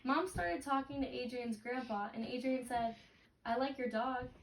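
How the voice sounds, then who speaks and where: A woman reads a children's book aloud in a fairly high voice, giving the story expression as she goes. She is some way from the mic in an echoey room, with clear noise and clicks around her. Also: steady tone, moderate pace, far from the mic. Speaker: reader leading a read-aloud for a child. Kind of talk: picture-book read-aloud with questions to a child.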